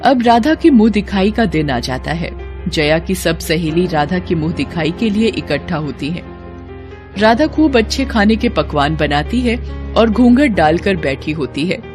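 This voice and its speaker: A woman's voice: lowish voice, animated, unhurried, over music. A woman working as narrator, reading a scripted Hindi moral story.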